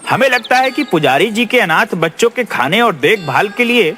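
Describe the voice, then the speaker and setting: Man playing a high-voiced, clever young villager. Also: expressive, normal speed, pushed and bright, faint music behind. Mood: earnest, respectful. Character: clever, respectful young peasant elder brother.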